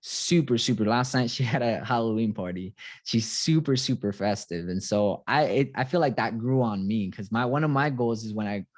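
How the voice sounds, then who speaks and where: A man's voice: mid-range voice, normal speed, no room sound, studio quality. A man from Santiago, Chile, hosting a live online group call in a casual motivational style, with hype-man exclamations and repeated phrases. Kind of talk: casual motivational livestream talk.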